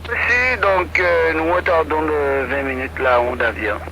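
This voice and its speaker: A man's voice: on the high side, unhurried. A man making clipped procedural calls over aviation radio. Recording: noisy, telephone-like aviation radio transmission.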